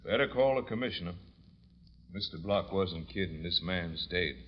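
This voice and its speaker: An actor playing a gruff, unflappable police detective, terse and dryly colloquial, in old radio suspense style. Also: male voice, deep voice, lively intonation, moderate pace. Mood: matter-of-fact. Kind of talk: 1940s-50s radio suspense acting. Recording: noisy, muffled old radio recording, hall reverb.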